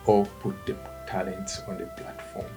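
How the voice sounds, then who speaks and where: A middle-aged Ugandan man with a Ugandan accent, answering interview questions in a halting way, often restarting words. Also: low-pitched, music in the background. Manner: halting, restarts words, quiet then rising when animated. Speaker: guest, event company founder.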